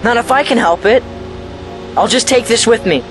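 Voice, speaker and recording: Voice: medium pitch, normal speed, music in the background, natural voice. An actor playing a stubborn, guilt-ridden and defiant young boy in an audio drama, speaking impulsively.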